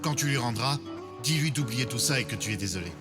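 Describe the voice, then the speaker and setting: A man voicing a gruff but kind young tough guy in a French anime fan dub, plain and reassuring. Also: mid-range voice, lively intonation, fast talker, lightly acted. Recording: loud music over the voice.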